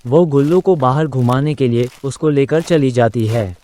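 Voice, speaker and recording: A man's voice: mid-range voice, normal speed, mellow. A man narrating a scripted moral story for a children's cartoon.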